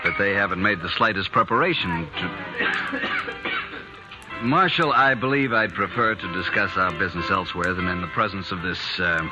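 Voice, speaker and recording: An actor playing a pompous, sneering frontier moneylender in a 1950s radio drama, haughty and contemptuous. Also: male voice, sits low, normal speed, natural voice, low-quality recording. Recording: old radio broadcast with music underneath.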